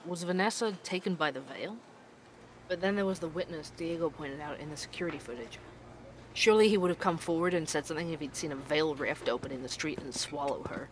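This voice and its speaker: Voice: medium pitch, a little fast, slight background noise, close to an everyday voice, poor audio. An actor playing an inquisitive young podcast host who investigates mysteries, in an audio drama. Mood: musing, curious. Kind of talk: audio drama dialogue and narration.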